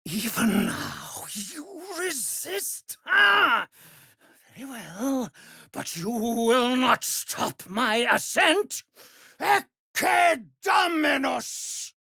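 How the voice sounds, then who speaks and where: An actor playing a cruel, pompous immortal vampire lord in a fantasy video game, menacing and theatrical, moving between hushed threats and grand declarations. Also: male voice, very high-pitched, very animated, extremely slow, creaky, slightly rough, no room sound, exaggerated voice. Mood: ritual exaltation.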